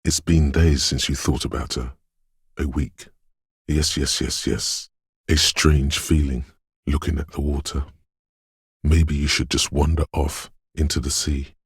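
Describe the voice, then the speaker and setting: Game inner voice of intuition: extremely low, heavily rasping, dreamlike and ominous, lingering on poetic phrases. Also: male voice, flat delivery, unhurried, no echo, studio quality, full character voice. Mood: dreamy, melancholic. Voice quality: husky, gravelly bass. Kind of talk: atmospheric second-person video game narration.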